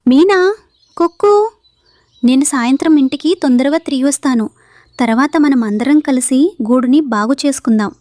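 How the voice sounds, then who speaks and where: A woman's voice: high voice, moderate pace, darkish tone, dry recording, close to an everyday voice. A woman voicing an earnest, motherly sparrow, caring and firm, in a children's cartoon.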